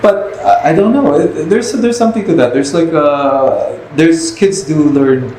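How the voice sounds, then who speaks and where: A man's voice: on the high side, animated, measured pace, mellow. A man telling anecdotes as a podcast host in casual, rambling talk, often restarting his phrases. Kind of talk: casual interview chat with anecdotes. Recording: echoey room with faint noise.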